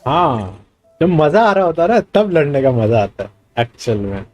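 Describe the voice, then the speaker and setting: Indian male streamer, Hindi native, casual and excitable, very rapid repetitive bursts, faint game music behind. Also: on the high side. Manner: excitable, repeats words in bursts. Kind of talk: casual live gaming commentary.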